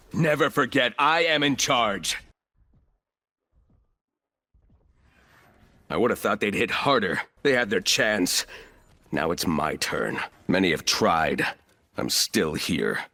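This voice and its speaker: Imperious, sharp-voiced corporate villain. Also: male voice, medium pitch. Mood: defiant, cold. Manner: imperious, clipped, condescending. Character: arrogant, ruthless corporate villain operator, cold and calculating man. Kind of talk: video game character voice lines.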